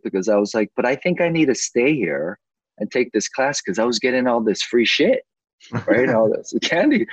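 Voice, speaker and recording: Male, casual joking storyteller, husky lower-pitched voice with lively swings, close dry sound. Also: no echo, rough recording. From United States. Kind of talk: casual chat, personal storytelling.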